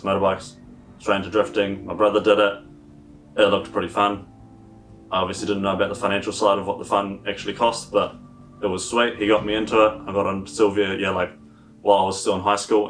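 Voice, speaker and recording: Male, 20s, relaxed deadpan interviewee, set back from the mic. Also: sits low. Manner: loose, chatty storytelling with dry humour. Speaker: drift driver interviewee. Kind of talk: documentary interview. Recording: distant mic with music bed. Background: guitar music.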